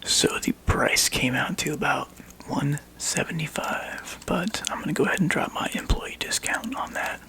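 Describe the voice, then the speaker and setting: A man speaking softly and breathily close to the microphone in an ASMR roleplay, playing a laid-back, wry video game store clerk who chats casually with a customer. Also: normal speed. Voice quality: breathy whisper and soft low murmur. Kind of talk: ASMR roleplay, one-sided customer chat.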